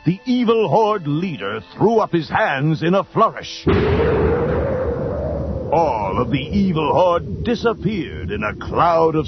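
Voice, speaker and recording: A man's voice: a little high, lo-fi sound. A man giving dramatic scripted storybook narration. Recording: band-limited old readalong tape with music.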